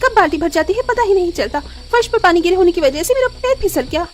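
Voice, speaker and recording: A woman's voice: extremely high pitch, lively intonation, fast, a little hiss or hum, voice pushed up. A woman voicing a haughty, sulky young sister-in-law in a cartoon story, whiny and snappish. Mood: irritated.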